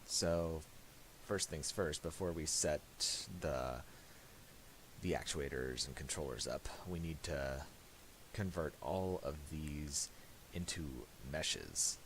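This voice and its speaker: A man giving a casual software tutorial, speaking haltingly and restarting his phrases as he explains each step. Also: very deep voice, a little hiss or hum. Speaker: tutorial presenter.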